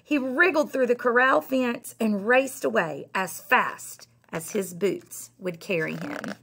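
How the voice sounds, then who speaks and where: A middle-aged woman, a teacher, reading a children's story aloud in an expressive, emphatic way. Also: fairly high pitch, slow, gravelly, a little hiss or hum. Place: indoor school classroom.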